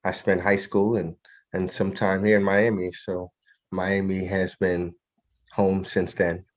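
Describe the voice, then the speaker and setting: Deep, even-toned man from New York. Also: unhurried. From New York, of a Trinidadian family. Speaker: guest poet. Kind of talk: reflective interview talk.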